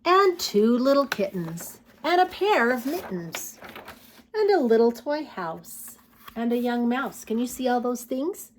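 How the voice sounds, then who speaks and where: A woman with a fairly high voice reads picture books to small children. Her delivery is unhurried and very animated, with sing-song phrasing and questions put straight to the young listeners. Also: slight background noise. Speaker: children's storytime host.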